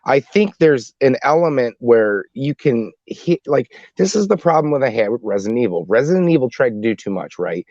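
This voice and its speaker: A man's voice: mid-range voice, lively intonation, brisk, few pauses, darkish tone, no echo, lo-fi sound. A man co-hosting a podcast in casual panel discussion, often restarting his sentences as he goes. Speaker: podcast co-host.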